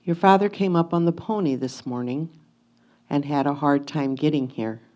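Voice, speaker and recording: Lady acting an 1850s wife reading her letter, soft dark mellow voice, curious and a bit worried, gentle and deliberate. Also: low-pitched, lightly acted. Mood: matter-of-fact. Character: anxious, curious 1850s wife writing to her absent husband. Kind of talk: reader's theatre letter reading.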